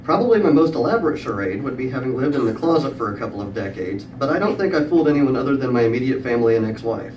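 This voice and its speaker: A distant, echo-heavy recording with clear background noise carries a middle-aged man's voice at an average male pitch. He delivers a prepared comic story to a live room. His manner is wry and dry-witted, with pauses placed for the joke. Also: big pitch swings. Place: live event room with audience.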